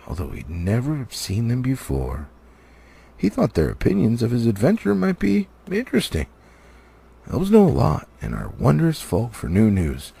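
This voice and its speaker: A man reading a fantasy storybook aloud in an animated, expressive way, stumbling now and then. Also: medium pitch, creaky, slightly rough. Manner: animated, slightly stumbling cold read. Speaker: amateur audiobook reader.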